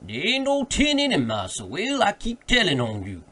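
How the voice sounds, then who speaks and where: A man voicing an excitable servant who insists earnestly in thick, folksy dialect for a story reading. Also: high-pitched, moderate pace, voice pushed up, heavily acted. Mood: insistent. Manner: emphatic, folksy, dropped words.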